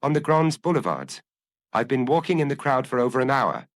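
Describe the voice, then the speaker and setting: A man's voice: medium pitch, big pitch swings, a little fast, no echo, studio quality, voice pushed up, natural voice. A man voicing an anguished, restless fugitive office clerk, agitated and confessional, in an audiobook. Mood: vehement, agitated. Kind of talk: audiobook character dialogue.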